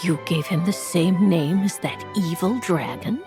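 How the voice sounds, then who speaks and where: A woman's voice: fairly low pitch, measured pace, music in the background, natural voice. A woman playing a solemn, sorrowful seer witch in a video game, speaking in grave, formal, archaic phrases. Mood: grave, foreboding. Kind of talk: theatrical video game storybook acting.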